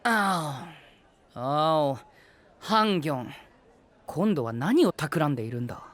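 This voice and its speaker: A man's voice: on the high side, lively intonation, slow pace, a bit bright. A man playing a proper, concerned young knight in an anime-style voice drama, speaking formally and earnestly. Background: music.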